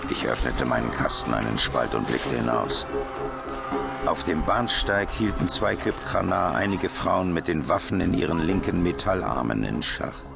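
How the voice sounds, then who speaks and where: Grave adventurer narrating sci-fi audio drama, super deep creaky voice, loud music behind. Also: male voice, lively intonation, heavily acted. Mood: tense, alarmed. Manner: measured, deliberate storytelling. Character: grave male first-person adventurer recounting a dangerous mission. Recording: music and vehicle rumble behind.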